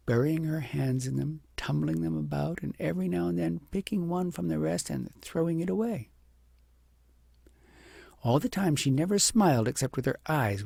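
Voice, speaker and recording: A man with a gravelly, husky voice narrating a storybook in a measured, deliberate and expressive way. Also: mid-range voice, no echo, clean studio sound. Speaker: audiobook narrator.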